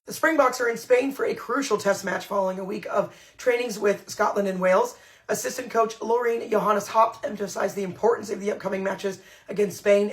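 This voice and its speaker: Female podcast host, hoarse, brisk scripted news delivery. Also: medium pitch.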